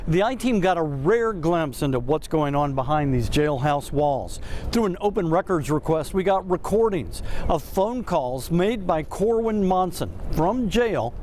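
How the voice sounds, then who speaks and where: An American man delivers a scripted investigative news report. His voice sits a little high for a man, and he paces his phrases in a measured, deliberate broadcast way. There is faint noise behind him. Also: lively intonation, darkish tone.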